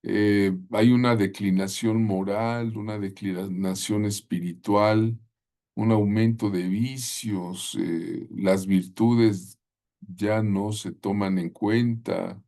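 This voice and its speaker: Middle-aged male Bible teacher, low warm voice, deliberate delivery that invites listeners to repeat lines. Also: steady tone, gravelly. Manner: deliberate, with frequent "eh" and tag questions; calls on listeners at home to repeat phrases. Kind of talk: preaching-style Bible lesson.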